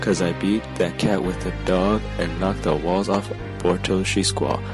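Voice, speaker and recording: Man, low register, dramatic rap recitation, prominent music behind him. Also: fairly even intonation, a little slow. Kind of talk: dramatic reading of rap lyrics.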